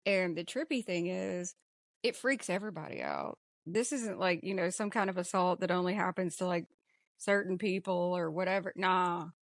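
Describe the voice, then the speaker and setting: A woman's voice: on the low side, moderate pace, dry recording, clean studio sound. A woman co-hosting a casual movie discussion podcast, speaking in an emphatic, informal, playful way. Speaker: podcast co-host.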